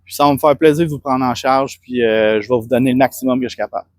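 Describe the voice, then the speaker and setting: A man's voice: medium pitch, steady tone, fast talker, no echo. A man with a Québécois French accent, a fitness coach talking earnestly and rambling in a casual self-promotional monologue. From Quebec. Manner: rambling, earnest, many restarts and fillers.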